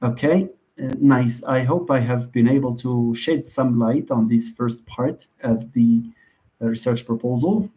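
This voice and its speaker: A man's voice: medium pitch, unhurried, dark tone. A man with a Moroccan accent, a university lecturer answering students' questions in an online session, hesitant with many fillers and restarts, and often asking and answering his own questions. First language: Moroccan Arabic.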